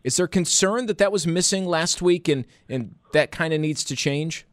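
A man's voice: a little high, normal speed, no echo, studio quality. A man hosting a casual sports interview, asking long, rambling questions with emphatic swings in his delivery. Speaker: sports talk host interviewer.

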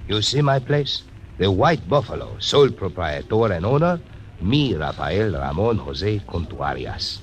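An actor playing a boastful, excitable casino owner with a Spanish accent, in a 1940s radio drama. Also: male voice, sits low, measured pace, a little hiss or hum. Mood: florid,wheedling. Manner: theatrical, florid, swooping phrases.

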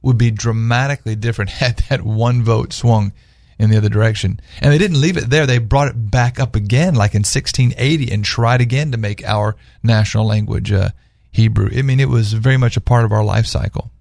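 A man's voice: medium pitch, fairly quick, gravelly, few pauses, darkish tone, no echo, clean studio sound. A man speaking as a guest on a radio talk panel, earnestly telling stories and posing rhetorical questions in conversational religious discussion.

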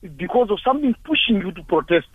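A man's voice: high voice, sing-song, fast talker, breathy edge, no room sound. Impassioned man on a tinny phone line. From Zimbabwe. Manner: impassioned, angry, repetitive rhetorical questions. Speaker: caller. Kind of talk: emotional call-in comments.

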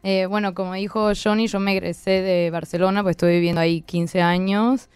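A woman in her thirties from Argentina, with an accent mixing Argentine and Spanish, explaining her work as a guest lawyer in measured, hesitant answers. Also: medium pitch, flat delivery, a little mellow, smooth, no echo, faint music behind. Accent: mixed Argentine and Spanish. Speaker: interview guest, human rights lawyer.

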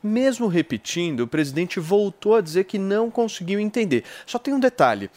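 Brazilian man hosting morning chat, animated pitch, slightly high voice. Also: moderate pace. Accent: Brazilian Portuguese. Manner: animated, laughs often. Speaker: talk show host. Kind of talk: lively studio chat.

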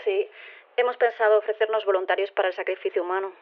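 An actress playing an easygoing phone-in guest in an audio drama, casual and matter-of-fact, with an accent from Spain. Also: female voice, medium pitch, fairly even intonation, quick pace, lightly acted. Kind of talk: conversational fiction interview. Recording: tinny narrow telephone line.

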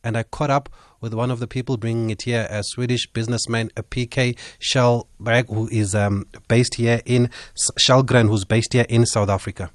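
A man hosting a radio interview, introducing his guest and asking measured questions. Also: medium pitch, little pitch movement, dry recording, studio quality. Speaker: radio host.

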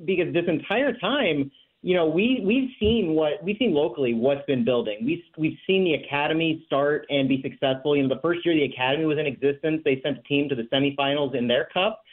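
A man's voice: fairly high pitch, a little fast. A man speaking as a guest on a sports radio show, giving conversational analysis over a call-in line. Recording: tinny phone-like line.

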